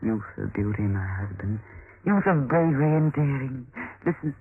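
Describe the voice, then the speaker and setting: An actress playing a cunning, coaxing young Renaissance noblewoman in a vintage radio drama, speaking in short, deliberate stage phrases. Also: rumbling low, lively intonation, over music. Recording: muffled, noisy narrow-band old radio recording.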